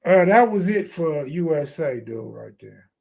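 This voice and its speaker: An American man hosts a casual live stream. His voice sits on the high side and is full of gravelly creak. He talks in loose, streetwise slang and swears freely. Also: sing-song, a little slow, a little mellow.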